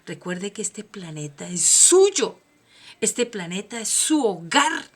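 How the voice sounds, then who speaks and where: A Colombian-accented woman hosts a personal motivational monologue in a mid-range voice. She talks conversationally but preaches, letting her volume climb on emphatic declarations before falling back to quiet, almost whispered asides. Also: medium pitch, very animated, slow, slightly rough. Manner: emphatic, preaching, swings from soft to loud. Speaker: host, motivational speaker.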